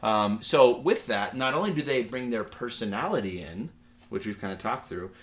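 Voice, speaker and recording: A man's voice: mid-range voice, lively intonation, normal speed. A man with an American accent giving a casual, digressive lecture in a conversational, anecdotal way, like a university teacher.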